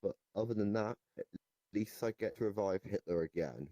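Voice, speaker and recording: A man playing a deranged goblin storyteller in an improvised comic interview, rambling haltingly and nonchalant about absurd claims. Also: on the low side, warm tone, dry recording, clean studio sound, lightly acted. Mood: nonchalant, boastful. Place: virtual reality voice chat.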